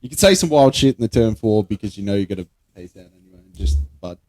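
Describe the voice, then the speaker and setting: A man's voice: on the low side, animated, fast, darkish tone. A young Australian man co-hosting a podcast, bantering casually and bluntly with plenty of swearing and slang. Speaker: podcast co-host.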